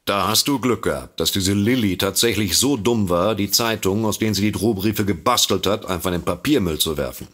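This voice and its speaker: An actor playing a sober, sceptical detective in a comedy mystery audio drama. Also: male voice, lowish voice, fairly quick, few pauses, a bit bright. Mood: matter-of-fact. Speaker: amateur detective.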